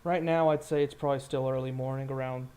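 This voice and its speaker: A man's voice: mid-range voice, steady tone, fast, mellow, smooth. A man improvising narration as game master of a tabletop game, in a casual, meandering way.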